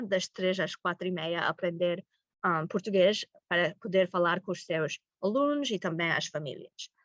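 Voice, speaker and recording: A middle-aged woman from Massachusetts, a teacher presenting informally and haltingly, mixing in words. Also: medium pitch, no echo. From Massachusetts, United States. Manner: halting, mixes in English words. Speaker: high school language teacher presenting. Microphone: video call. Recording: muffled lo-fi webinar audio.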